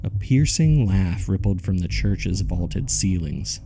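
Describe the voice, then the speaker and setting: A man narrating a horror audio drama as a grave witness, in a deliberate, somber storytelling manner. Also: sits low, lively intonation, heavily acted. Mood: grave, uneasy.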